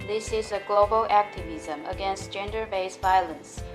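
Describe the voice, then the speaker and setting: A woman from Taiwan, an Olympic athlete, giving a scripted campaign message in a steady, formal way. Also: mid-range voice, normal speed, music in the background, low-quality recording.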